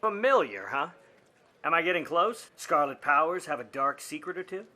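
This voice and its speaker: An actor playing a wry, wisecracking private eye in a 1950s noir radio play, narrating and trading slangy lines. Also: male voice, on the high side, lively intonation, normal speed, sharp tone. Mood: sly, needling. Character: wry, grudging hardboiled male private eye.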